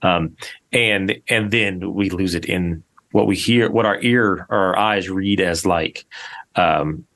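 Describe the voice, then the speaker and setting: A man hosting a podcast in casual chat, haltingly feeling his way through long questions. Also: sits low, fairly bright tone. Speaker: podcast host, interviewer.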